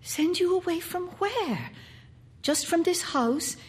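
A woman's voice: extremely high pitch, animated, slow pace, pitched above the performer's own voice, heavily acted. A woman voicing an anxious, domineering and weary mother in a story, coaxing and admonishing in a fretful way. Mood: puzzled.

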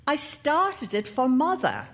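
A woman's voice: high voice, wildly swooping pitch, takes it slowly, voice pushed up, exaggerated voice. A woman voicing an anxious, intense young boy who stammers and pleads.

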